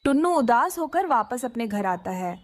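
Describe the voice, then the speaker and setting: A woman narrates a scripted children's cartoon tale. Her voice is high, with very lively, swinging intonation. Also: moderate pace, no echo.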